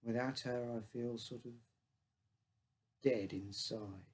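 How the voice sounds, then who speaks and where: A British man reading a story as a withdrawn, grieving father, with a heavy, slow delivery. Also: mid-range voice, fairly even intonation, darkish tone, breathy edge, pitched below the performer's own voice. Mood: hollow, grieving. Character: withdrawn, grieving father, emotionally dead inside.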